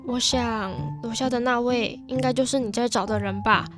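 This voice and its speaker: Woman acting a resigned young girlfriend, highish voice. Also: fairly even intonation, moderate pace, close to an everyday voice. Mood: resigned, hurt. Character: resigned young woman giving up her boyfriend. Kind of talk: radio drama acting. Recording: prominent music behind the voice.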